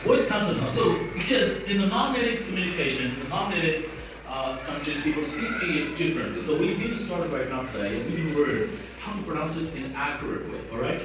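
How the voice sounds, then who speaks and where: Animated high-voiced male teacher, very echoey classroom. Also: brisk. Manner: animated, encouraging, drilling sounds by repetition. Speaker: English pronunciation teacher. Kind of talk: interactive classroom lesson. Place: indoor classroom. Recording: echoey room, far from mic, noisy class. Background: class of students, room noise.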